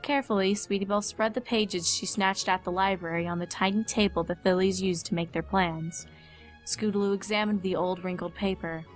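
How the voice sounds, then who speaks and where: Woman narrating a story, medium pitch. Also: steady tone, a little fast, faint music behind.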